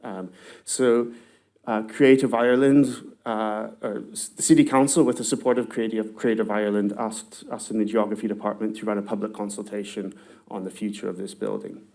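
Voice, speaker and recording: A man with a somewhat low voice gives a research presentation to a conference audience. He talks in a halting way, filling gaps with ums and restarting his sentences. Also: fairly even intonation, gravelly, mellow. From not from Galway. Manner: hesitant, many ums and restarts.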